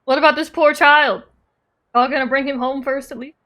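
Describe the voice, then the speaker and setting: A woman's voice: high voice, fairly even intonation, a little fast, fairly bright tone. A woman giving playful, joking, casual live commentary as a game streamer.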